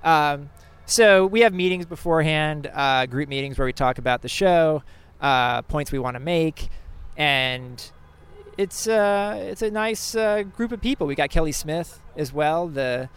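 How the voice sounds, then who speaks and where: A man's voice: on the high side, lively intonation, a little slow, fairly bright tone, smooth. A man hosting a podcast, chatting in an easygoing, teasing way and reading an ad. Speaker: podcast host. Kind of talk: casual chat and ad read.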